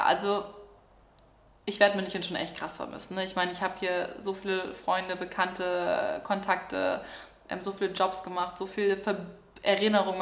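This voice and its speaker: A woman in her twenties from northern Germany, chatting excitedly with many fillers in a casual vlog. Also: fairly low pitch, reverberant. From Germany, Lower Saxony near Hamburg. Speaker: vlogger. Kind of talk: casual life update vlog. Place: apartment room.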